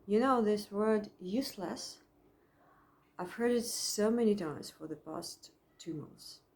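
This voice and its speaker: Slow-spoken Russian-accented woman psychologist. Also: medium pitch, darkish tone. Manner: thoughtful, halting, with frequent pauses and restarts. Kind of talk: personal reflection to camera. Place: at home.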